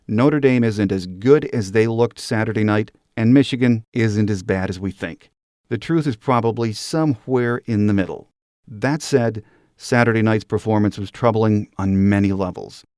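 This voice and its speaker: A man's voice: on the low side, a little mellow, no room sound, clean studio sound. A man, middle-aged or older, hosting a sports podcast and delivering prepared solo commentary. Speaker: podcast host.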